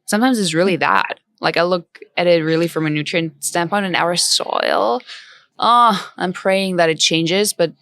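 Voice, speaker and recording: A woman's voice: on the low side, moderate pace, a bit bright, no room sound. A woman hosting a podcast interview, enthusiastic and affirming toward her guest. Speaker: podcast host. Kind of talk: casual interview chat.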